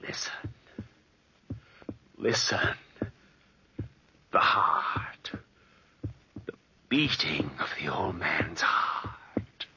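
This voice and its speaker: An actor playing a crazed, gloating, murderous nephew with breathy, halting, melodramatic delivery in a 1940s radio horror drama. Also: male voice, low voice, lively intonation, in a whisper, heavily acted. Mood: obsessed, hushed. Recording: muffled old 1940s radio recording.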